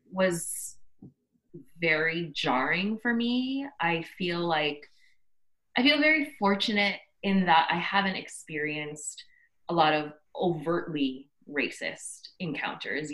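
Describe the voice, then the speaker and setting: Reflective lower-pitched Canadian woman. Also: pushed and bright, poor audio. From Canada, Toronto area. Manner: reflective, thinks aloud. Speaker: podcast guest, social impact worker. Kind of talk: personal reflective interview answers.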